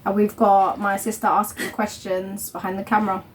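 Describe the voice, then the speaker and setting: A woman's voice: medium pitch, little pitch movement, normal speed, slight background noise. A young woman of Somali family hosting a casual couple vlog at home, chatty and rambling, with lots of fillers and laughter. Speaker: vlog host. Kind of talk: casual chat.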